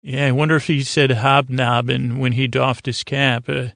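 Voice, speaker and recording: A man with a creaky voice playing one of a doting, slightly dim married couple musing haltingly in improvised comic breakfast chat. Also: medium pitch, little pitch movement, fairly bright tone, no room sound, studio quality. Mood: mild, musing.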